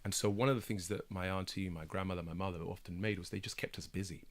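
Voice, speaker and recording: A man's voice: deep voice, fairly even intonation, fast talker. A man in his thirties, born in Kenya with Swahili as his first language, speaking earnestly and emphatically as a guest in a radio studio discussion. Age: 30s. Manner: earnest, emphatic, repeats words when stressing. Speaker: barrister and broadcaster guest.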